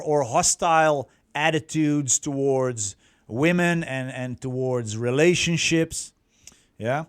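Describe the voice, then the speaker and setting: Unhurried Dutch-accented man with a clear voice. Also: fairly high pitch. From Netherlands. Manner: halting, word-searching, self-correcting delivery. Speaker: dating coach and presenter. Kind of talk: explanatory online talk to viewers.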